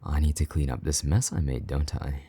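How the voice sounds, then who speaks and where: Vampire knight, played by a man: rock-bottom pitch, dark breathy tone, possessive, condescending, commanding, intimate roleplay delivery. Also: flat delivery, full character voice. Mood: teasing, smug. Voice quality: low, husky, breathy, often half-whispered. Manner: slow, taunting, commanding. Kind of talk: intimate roleplay audio drama.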